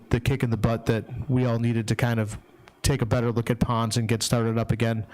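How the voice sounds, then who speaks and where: A man's voice: medium pitch, darkish tone, poor audio. A man hosting a public town meeting, introducing speakers casually with lots of ums and restarts. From not from Massachusetts. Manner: casual, many fillers and restarts.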